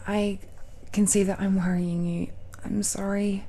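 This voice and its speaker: A woman voicing a tender, nervous girlfriend of dragon blood in an intimate romantic audio roleplay, speaking haltingly and softly, often dropping into whispers. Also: medium pitch, level tone, a little hiss or hum, close to an everyday voice. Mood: nervous, earnest. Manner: slow, halting, hushed, often sighing or lapsing into whispers. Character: tender, nervous dragon-descended girlfriend confiding in her lover.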